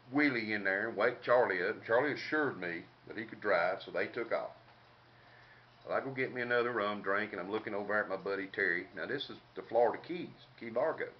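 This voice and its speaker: An older man with a Southern US accent tells a casual comic story in a folksy, drawling country way with colorful slang. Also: fairly low pitch. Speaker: comedic storyteller. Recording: dull lo-fi home recording, background noise.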